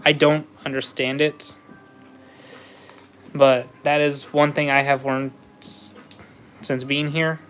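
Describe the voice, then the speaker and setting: Chill guy building lego on a stream, slow and pretty monotone, slightly high voice. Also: soft background music. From USA. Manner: slow, easygoing, chatty with viewers, laughs often. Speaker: livestream host. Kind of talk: casual chat while building Lego live.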